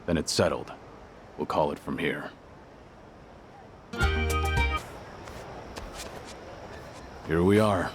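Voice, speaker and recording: A man's voice: extremely low pitch, normal speed, slight background noise. An actor playing a stoic, weary but kind older tough guy in an English-dubbed video game, terse and understated. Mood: calm. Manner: terse, understated, matter-of-fact.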